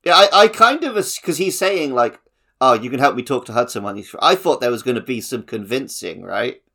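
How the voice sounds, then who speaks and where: A man's voice: medium pitch, lively intonation, a little fast, vocal fry, sharp tone. A man co-hosting a gaming podcast, talking casually about a video game. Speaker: podcast co-host.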